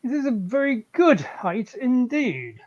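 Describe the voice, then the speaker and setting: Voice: mid-range voice, very animated, a little slow, darkish tone, muffled recording. A performer voicing a testy, haughty caterpillar in a storybook live read, terse and imperious. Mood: condescending. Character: curt, testy, haughty caterpillar smoking a hookah. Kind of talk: live reading of an abridged classic story.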